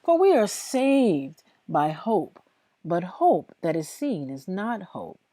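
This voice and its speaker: A woman reading Bible passages aloud in a devotional style, with deliberate, emphatic phrasing. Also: lowish voice, big pitch swings, mellow, no echo. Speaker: host reading scripture aloud. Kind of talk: slow devotional Bible reading aloud, with informal asides to listeners.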